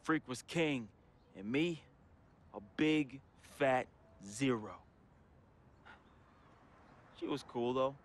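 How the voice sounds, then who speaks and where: A man's voice: medium pitch, extremely slow, long pauses. A heartfelt, troubled young man. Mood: somber. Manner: heartfelt, confessional, casual slang. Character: troubled young man, a friend writing a farewell letter. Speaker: game character narrating a farewell letter. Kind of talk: emotional voice-over monologue. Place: studio voice-over.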